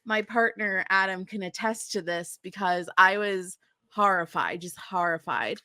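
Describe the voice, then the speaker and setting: A woman's voice: mid-range voice, measured pace, pushed and bright, no echo, clean studio sound. A woman co-hosting a casual pop-culture podcast, talking in a dramatic, exclamatory, sweary way and saying "like" a lot. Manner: filler-heavy, heavy use of "like". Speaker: podcast co-host.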